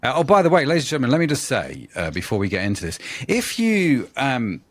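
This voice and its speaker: A man's voice: mid-range voice, huge pitch swings, fast, no room sound. A man in his fifties hosting a podcast, chatty and self-deprecating, wandering off on tangents. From England. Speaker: podcast host. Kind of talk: casual interview chat.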